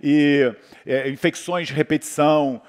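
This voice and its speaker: A man's voice: a little high, steady tone, a little slow. A man from Brazil with a Brazilian Portuguese accent, a physician talking casually on a panel, rambling with lots of fillers and restarts. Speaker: physician guest speaker. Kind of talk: conversational talk on a panel. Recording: hall reverb.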